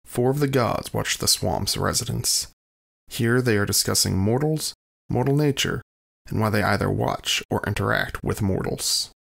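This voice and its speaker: Very gravelly low-voiced male narrator. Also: normal speed, fairly bright tone. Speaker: scene narrator. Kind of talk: scripted scene-setting narration.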